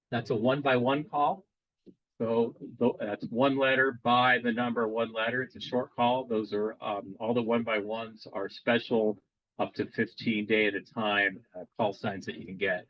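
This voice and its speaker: A man presenting a live demo at a radio club meeting, explaining casually and pausing often to restart his sentences. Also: mid-range voice, no echo, dull audio. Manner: casual, halting, many fillers and restarts.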